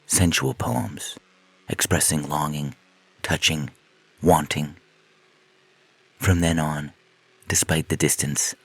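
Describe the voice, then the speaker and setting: A man with a deep, husky voice reading a scripted science fiction story as narrator. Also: a bit bright, no room sound.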